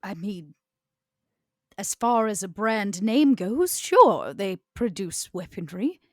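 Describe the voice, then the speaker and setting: A woman improvising in-character dialogue in a tabletop game, with formal, arch, measured wording. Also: mid-range voice, expressive, no room sound, clean studio sound. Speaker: player in a tabletop role-playing game.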